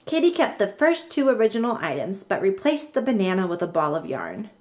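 A woman reading a children's picture book aloud in an expressive, deliberate way with dramatic pauses. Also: mid-range voice. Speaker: storybook reader.

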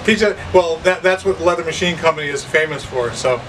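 A man's voice: on the high side, fairly quick, a crowd in the background. A middle-aged man hosting a casual walking tour of a workshop, introducing people with showman-like enthusiasm. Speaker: vlog host touring a shop. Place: indoor workshop and warehouse. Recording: distant voice with room noise.

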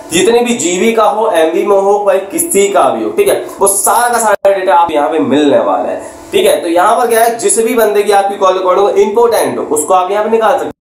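A man giving an informal step-by-step tutorial, repeating himself and often adding check-in tags. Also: high-pitched. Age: 20s. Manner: repetitive, with frequent check-in tags. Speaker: tech tutorial YouTuber. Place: small curtained room indoors. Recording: echoey room with faint background noise.

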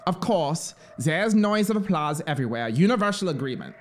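Man acting a fanatical, wildly expressive scheming officer. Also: fairly high pitch, moderate pace, slight background noise, pitched above the performer's own voice. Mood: eager scheming. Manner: theatrical, urgent, swinging between hushed asides and exclamations. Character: fanatical, scheming penal colony officer, pleading and grandiose. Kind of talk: dramatic audiobook storytelling.

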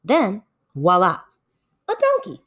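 A woman voicing a clever, mischievous male fox salesman with excitable, wheedling patter in a storybook. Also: mid-range voice, takes it slowly, full character voice. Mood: persuasive.

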